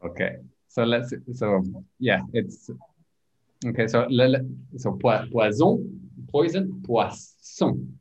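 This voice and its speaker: A man teaching French pronunciation in an online group class, patiently repeating words slowly for learners. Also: medium pitch, expressive, darkish tone, husky, lo-fi sound.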